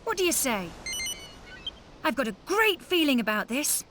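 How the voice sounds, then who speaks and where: A woman playing a feisty, sharp-tongued young mage in a video game, confident, sassy and direct. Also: high voice, sing-song, normal speed, noisy background. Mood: eager, friendly. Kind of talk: animated video game voice acting.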